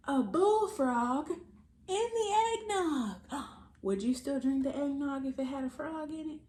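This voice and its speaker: An American woman hosting a children's storytime, reading aloud in a playful, expressive, theatrical way and talking straight to young listeners. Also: high-pitched, slow pace. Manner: exaggerated, theatrical delivery of silly sound words. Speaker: children's read-aloud host. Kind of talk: animated storytime reading for kids. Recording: roomy echo, light background noise.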